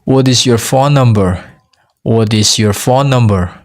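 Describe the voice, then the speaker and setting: A man's voice: medium pitch, a little slow. A man with a Mizo accent, a native Mizo speaker teaching English, who says each phrase twice for learners.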